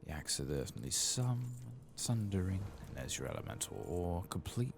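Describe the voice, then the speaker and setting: A man giving soft-spoken, whispered ASMR commentary over a game playthrough, murmuring casually as if to himself. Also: low voice, big pitch swings, moderate pace, gravelly, slight background noise. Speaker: gaming ASMR host.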